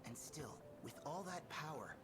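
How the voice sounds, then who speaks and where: A man's voice: a little high, lively intonation, normal speed, noisy background, lightly acted. A man playing an earnest, reassuring teenage boy who tries to seem tough, in an anime video game dub. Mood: puzzled, earnest.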